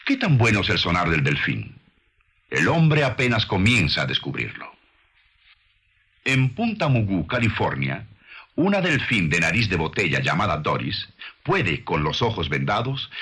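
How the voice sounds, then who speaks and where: A man narrating a scripted science documentary in a measured, formal, didactic manner on an old soundtrack. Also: sits low, pushed and bright, breathy edge.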